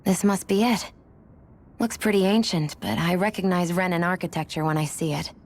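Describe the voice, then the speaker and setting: A woman's voice: on the low side, normal speed, darkish tone, a little hiss or hum, close to an everyday voice. A woman playing a cool, pragmatic young warrior in a video game cutscene, composed and matter-of-fact. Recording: music behind the voice. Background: game soundtrack music.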